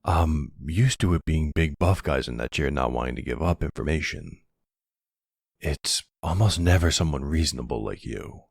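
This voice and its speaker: Casting: male actor as middle-aged mob boss for intimate audio roleplay, extremely low and husky, smooth talker with menace and courtly charm. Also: lively intonation, moderate pace, no echo, clean studio sound, heavily acted. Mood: charming, flirtatious. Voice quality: gravelly.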